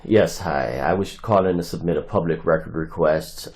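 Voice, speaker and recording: A man's voice: low-pitched, moderate pace, background noise. A man making insistent, long-winded phone requests for public records. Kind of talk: recorded phone calls and commentary.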